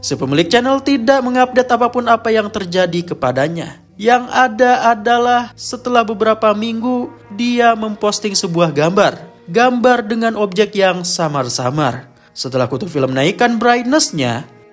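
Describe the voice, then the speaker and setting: A man's voice: high voice, lively intonation, normal speed. A man narrating a scripted horror explainer as host, with dramatic storytelling delivery. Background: music behind the voice.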